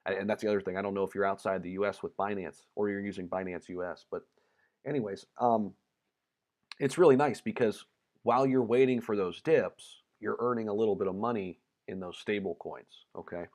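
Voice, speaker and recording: A man with a fairly low voice, from the United States, chats casually on a livestream and fills his talk with ums and restarts. His voice sounds a little worn, as if he is slightly losing it. He reads viewer comments and answers them in an easygoing way. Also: fairly quick. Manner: relaxed and chatty, many ums and repeated words. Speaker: crypto livestream host.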